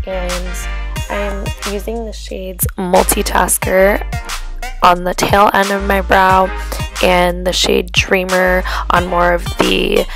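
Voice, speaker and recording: A young woman narrating a makeup tutorial in voice-over, relaxed and step by step with some ums, her voice a little off from being sick. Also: mid-range voice, vocal fry. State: sick, voice a bit off. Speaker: beauty vlogger. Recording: voice-over with music underneath. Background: music.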